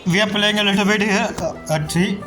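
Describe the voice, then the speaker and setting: Offhand high-voiced male streamer. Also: brisk, music in the background. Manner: rambling, offhand, swears. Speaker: gaming streamer reacting to a story quest. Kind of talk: casual reaction commentary.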